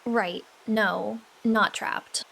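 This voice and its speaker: A woman playing an anxious, skeptical sidekick sleuth in an audio drama, hesitant and questioning with deadpan humor. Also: mid-range voice, extremely slow, creaky, slightly rough, heavily acted, poor audio. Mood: uneasy.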